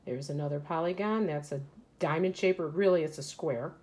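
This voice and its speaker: A woman's voice: sits low, brisk, a little mellow. A woman teacher reading a children's educational book aloud and explaining it to young pupils as she goes. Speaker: third grade teacher.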